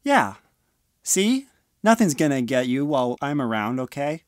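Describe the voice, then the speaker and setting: A man playing a protective teenage older brother in an audio drama, gentle, casual and earnest. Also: mid-range voice, very animated, measured pace, dry recording, studio quality. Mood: gentle, reassuring.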